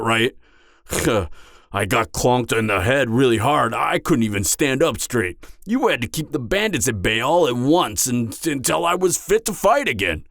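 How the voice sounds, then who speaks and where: A man's voice: medium pitch, gravelly, a bit bright, no room sound, studio quality. A man playing an anxious, awkward, slightly drunk part-giant mercenary in an intimate fantasy audio roleplay, hesitant and stammering. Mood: tipsy, wistful. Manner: hesitant, stammering, trailing off, sighing.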